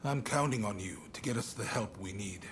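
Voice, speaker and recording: An actor playing a shrewd, courteous shogunate statesman in a video game, speaking in a measured, formal, authoritative way. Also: on the low side, creaky. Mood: composed authority. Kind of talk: video game cutscene acting.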